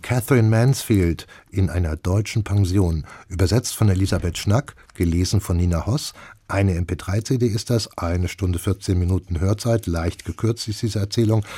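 A man's voice: low voice, a little mellow, husky, no room sound. A man hosting a radio book programme, presenting reviews and putting questions to a critic. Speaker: radio host.

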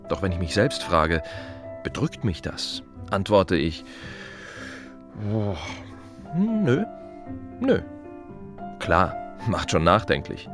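A reflective city gravedigger at peace with his work, in a radio play monologue. The voice is low and moves with lively intonation through short, plain-spoken phrases. Music plays behind it. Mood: wry, matter-of-fact. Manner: musing, colloquial, short fragmentary phrases with repetitions.

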